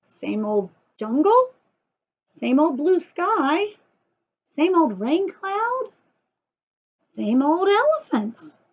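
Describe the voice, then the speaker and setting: Slow, high-voiced woman reading to children. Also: lo-fi sound. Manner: expressive, sing-song, asks listeners questions. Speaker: storyteller and teacher for young children. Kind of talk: read-aloud children's story with chatty asides to young viewers.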